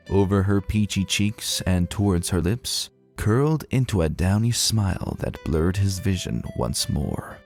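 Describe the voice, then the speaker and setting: A man giving an expressive, dramatic narration of romantic fiction in a literary storytelling manner. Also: on the low side, gravelly.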